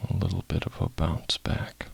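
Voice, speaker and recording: A man leading a guided meditation, soft-spoken and sometimes breathy, in short phrases with long pauses. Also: low voice, little pitch movement, a little hiss or hum.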